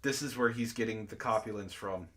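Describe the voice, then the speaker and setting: A man's voice: fairly low pitch, brisk, fairly bright tone. A man hosting a book club stream, reading aloud and breaking into exasperated, incredulous asides in casual jokey banter.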